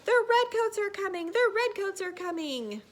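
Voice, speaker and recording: Woman playing a bellowing, high-pitched colonial lad. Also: very animated, normal speed, a little hiss or hum. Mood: loud, urgent. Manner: shouts everything like a bullhorn. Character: young Paul Revere, bellowing noisy colonial shop lad.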